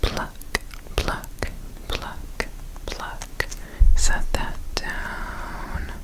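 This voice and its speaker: A woman whispering softly and soothingly in an ASMR roleplay as an examiner. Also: rough recording. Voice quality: soft unvoiced whisper. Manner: soothing, repeats trigger words slowly.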